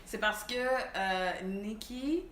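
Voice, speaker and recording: A woman's voice: medium pitch, drawn-out words, fairly bright tone, slight background noise. A young woman with a Quebec French accent co-hosting a casual chat, switching freely between French and English slang. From Montreal. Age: young adult. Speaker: co-host. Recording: echoey room with faint ambience.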